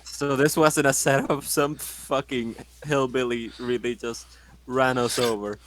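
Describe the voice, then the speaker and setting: A man's voice: medium pitch, level tone, unhurried. Sweary male gamer over faint noise. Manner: sweary, wisecracking. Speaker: tabletop game player. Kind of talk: casual profane game chat.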